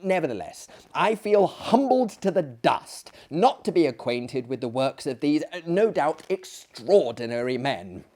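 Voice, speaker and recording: Man acting high-pitched, flustered polite gentleman. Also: very animated, a little slow, hardly any pauses. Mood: embarrassed, humble. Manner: stammering, fussy, over-polite. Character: polite, flustered, deferential gentleman visitor to an asylum. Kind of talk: theatrical audiobook character acting.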